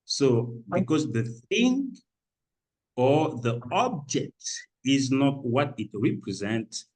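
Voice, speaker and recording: A man from Senegal presenting an academic paper at a conference, speaking haltingly with many fillers and restarts. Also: medium pitch, darkish tone, poor audio. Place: conference room.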